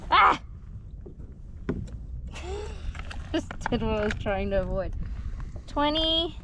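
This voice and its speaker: A young woman chatting excitedly and laughing while she fishes from a kayak outdoors, picked up by an action camera at some distance. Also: on the high side, slow pace, noisy background. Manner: giggly, excited, exclaiming. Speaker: kayak angler, host's wife. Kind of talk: casual chat while fishing. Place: kayak on open water outdoors. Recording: distant outdoor voice from across the water.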